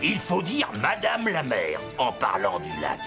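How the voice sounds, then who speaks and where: A man voicing an earnest cartoon lake boatman, deliberate and admonishing. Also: mid-range voice, huge pitch swings, creaky, full character voice. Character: slow, earnest lake boatman correcting a passenger. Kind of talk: French cartoon voice acting. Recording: music behind the voice.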